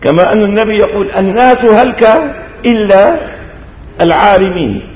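An older man with a Syrian Arabic accent preaching in a mosque, teaching scripture in a didactic, measured way with deliberate phrasing. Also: high-pitched, lively intonation. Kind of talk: mosque sermon explaining scripture. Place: large reverberant mosque. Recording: dull echoey hall recording, long reverb.

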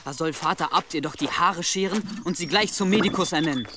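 An actor playing a sulky, indignant, pious young monk in a medieval radio drama, speaking petulantly. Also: male voice, a little high, a little fast, a crowd in the background, natural voice. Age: young adult. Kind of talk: German radio drama acting.

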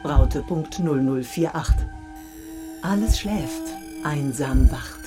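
An actress playing a cold female machine voice that announces procedures in flat, clipped lines, with music behind. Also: low voice, slow. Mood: announcing.

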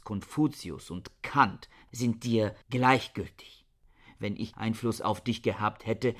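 Slow, low-voiced bitter scholar. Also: male voice. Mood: cold, scornful. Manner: harsh, lecturing, contemptuous. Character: bitter misanthropic male scholar, scornful older brother. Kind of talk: German radio drama acting.

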